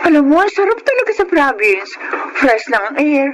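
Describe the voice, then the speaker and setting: High-voiced chatty young woman. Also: sing-song, moderate pace. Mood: cheerful, chatty. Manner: Taglish, mixing English words into speech. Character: chatty, teasing young city woman, friend of the group. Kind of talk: Filipino radio drama acting. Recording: noisy radio drama recording with clicks.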